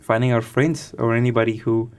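A nineteen-year-old man from Chile giving casual, thoughtful interview answers as a radio guest, pausing hesitantly as he goes. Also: mid-range voice, fairly even intonation.

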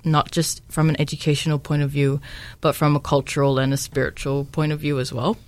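A woman's voice: sits low, flat delivery, brisk, a little mellow. A woman in her twenties from Samoa, a medical student interviewed as a guest, thoughtful and hesitant with many ums. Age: 20s. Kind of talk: casual interview.

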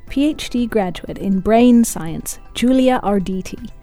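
Lady radio announcer reading an intro, mellow voice, music quietly behind. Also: on the high side, a little slow. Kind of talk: scripted announcement.

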